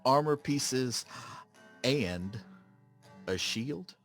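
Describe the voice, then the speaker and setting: An actor playing a gullible, curious country customer in a comic radio ad, with a Southern American drawl and a hesitant, incredulous manner. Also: male voice, mid-range voice, lively intonation, drawn-out words, faint music behind. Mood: puzzled, curious. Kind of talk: comic radio advertisement sketch.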